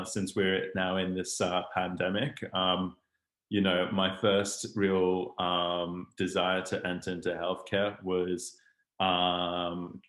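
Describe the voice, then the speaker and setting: Young guy with a mixed international accent, lowish voice, kinda flat, echoey room on a video call. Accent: international English. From Hong Kong. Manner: hesitant, many ums and restarts. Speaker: guest speaker, startup founder. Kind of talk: webinar interview. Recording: roomy echo.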